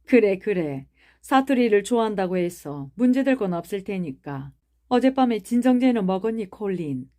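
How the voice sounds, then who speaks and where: A woman's voice: mid-range voice, lively intonation, moderate pace, mellow, voice pushed up, natural voice. A woman doing a timid male doctor. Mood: cautious. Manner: hesitant, mild. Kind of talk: audiobook character reading.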